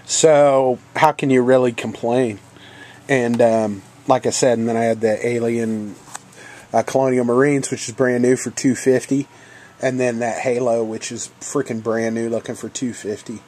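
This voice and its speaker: Brief: congested man with a head cold, mid-pitched voice, halting casual vlog talk full of fillers. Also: fairly even intonation, a little mellow. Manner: rambling, halting, many ums and uhs. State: coughing now and then. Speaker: channel host. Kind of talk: casual video game haul vlog.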